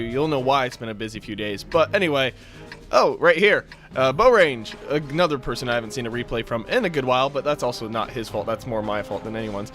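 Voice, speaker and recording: A young man casually commentating a game replay, his voice a bit raspy from just waking up, with frequent fillers. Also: fairly high pitch. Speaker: gameplay commentator.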